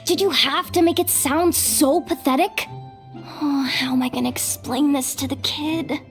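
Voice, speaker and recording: A wry, grumbling young sleuth. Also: female voice, high-pitched, steady tone, soft background music. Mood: explaining. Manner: wry, grumbling, slow-spoken. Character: sardonic young woman investigator, self-doubting. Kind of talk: English game dub. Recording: faint background noise.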